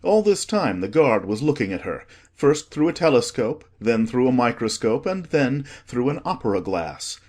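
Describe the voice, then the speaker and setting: A man narrating a children's storybook in an expressive, scripted audiobook style. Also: mid-range voice, moderate pace, hardly any pauses.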